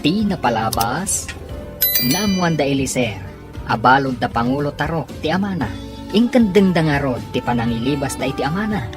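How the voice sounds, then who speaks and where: A man's voice: mid-range voice, lively intonation, moderate pace. A man with a Filipino accent announcing a radio drama in a dramatic, scripted style. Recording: music bed behind the voice.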